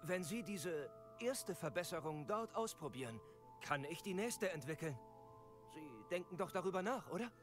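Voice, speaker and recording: Guy playing an eager inventor shopkeeper in a video game, pretty high voice, very polite, faint music. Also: expressive, moderate pace, lightly acted. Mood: eager, earnest. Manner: polite, earnest salesman. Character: eager, earnest male broom shopkeeper and inventor. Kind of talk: acted video game dialogue. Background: background music.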